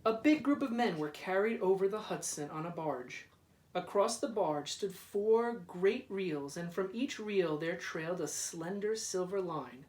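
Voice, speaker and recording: Slow, expressive male storybook reader, echoey room. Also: high-pitched. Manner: deliberate, dramatic, drawn-out repeated words. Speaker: school principal reading aloud. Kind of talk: expressive children's storybook read-aloud. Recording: roomy echo, distant microphone.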